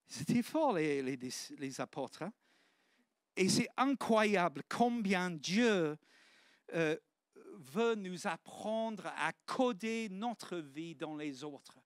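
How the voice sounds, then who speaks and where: A man's voice: sits high, expressive, slow, smooth, dry recording, studio quality. A man, preaching a sermon in church with earnest, exclamatory restarts. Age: 50s.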